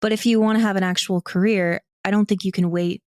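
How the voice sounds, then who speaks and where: A woman's voice: medium pitch, fairly even intonation, quick pace, a little mellow, no room sound, studio quality. A woman talking casually and reflectively as an interview guest, candid and self-deprecating as she thinks aloud. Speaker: podcast guest, audio drama creator and actor.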